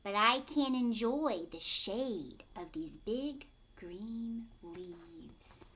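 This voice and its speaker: Woman reading as a slow, savoring storybook lion. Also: medium pitch, animated, a bit bright, husky, full character voice. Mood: contented. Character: big male lion speaking in a deep purr.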